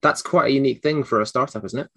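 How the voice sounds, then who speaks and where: Quick-talking male interviewer, dry sound. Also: mid-range voice, bright, forceful tone, no echo. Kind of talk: conversational business interview.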